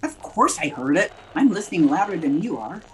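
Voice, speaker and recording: A woman playing a wry, quick-witted schoolteacher in a 1950s-style radio comedy, with a dry, deadpan delivery and a slightly husky voice. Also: on the low side, a little hiss or hum, low-quality recording. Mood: sardonic.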